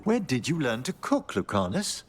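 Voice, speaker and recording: An actor playing a courteous, scholarly gentleman necromancer in a fantasy game, with formal, genteel phrasing. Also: mid-range voice, huge pitch swings, normal speed, soft background music. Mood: polite, wry.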